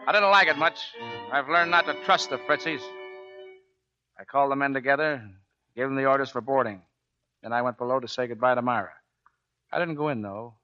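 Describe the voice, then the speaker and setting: An actor playing a gruff, commanding navy chief petty officer in a 1940s radio drama, blunt and terse. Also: male voice, medium pitch, a little fast, long pauses, pushed and bright, soft background music, natural voice. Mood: somber narrating. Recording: dull 1940s radio broadcast recording.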